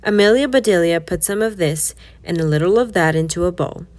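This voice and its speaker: A woman narrating a children's storybook aloud in an expressive, scripted read-aloud style. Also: on the low side, brisk.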